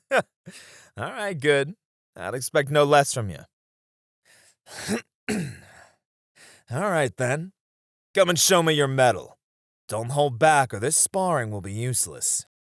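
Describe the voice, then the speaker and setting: Casting: male actor for a confident knight and playful sparring partner in an ASMR roleplay, animated pitch swings, close dry recording. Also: slow pace, pauses often, dry recording. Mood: spirited, challenging. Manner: playful teasing with frequent chuckles. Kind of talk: ASMR romance audio roleplay, one-sided dialogue.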